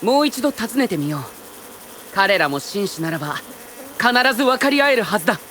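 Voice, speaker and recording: A woman's voice: medium pitch, big pitch swings, a little fast, a bit bright, slight background noise. A woman plays an earnest, pompous young male knight in an anime audio drama, speaking formally and grandly. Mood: proud, confident.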